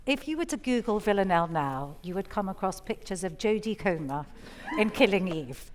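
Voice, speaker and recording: A woman's voice: medium pitch, lively intonation, normal speed. A woman host giving articulate, explanatory scripted introductions to poems on a theatre stage.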